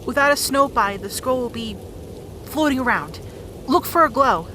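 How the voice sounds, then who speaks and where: A woman's voice: sits high, expressive, normal speed, sharp tone, a little hiss or hum, low-quality recording. A woman playing an earnest, determined young princess heroine, heartfelt, in a fantasy audio drama. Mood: urgent.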